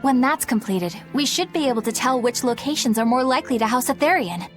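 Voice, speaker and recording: Earnest young game character, exact, formal, prickly, high-pitched, music behind. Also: female voice, lively intonation, fairly quick. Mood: methodical. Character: precise, earnest, methodical young woman, prickly. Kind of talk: video game acting.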